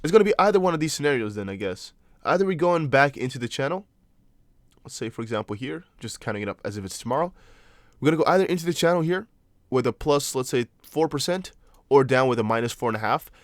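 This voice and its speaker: A young man whose first language is Dutch, speaking English with a Dutch accent. He hosts a casual crypto livestream, chatting loosely, reading chat aloud and thinking out loud with lots of fillers. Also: medium pitch, animated, fast, no room sound, studio quality. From Netherlands. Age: young adult. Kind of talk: casual livestream chart analysis.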